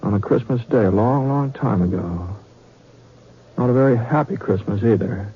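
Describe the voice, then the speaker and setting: Western radio narrator: folksy, conversational, mid-pitched, muffled old broadcast. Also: male voice, moderate pace, husky. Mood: somber. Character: folksy Western storyteller spinning a yarn. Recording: muffled vintage radio, faint music.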